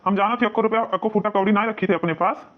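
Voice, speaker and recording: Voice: medium pitch, steady tone, fast talker, natural voice. A voice actor playing a hard-up, plain-spoken working husband in a cartoon family comedy, matter-of-fact, with an Awadhi accent. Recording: dull, lo-fi muffled sound.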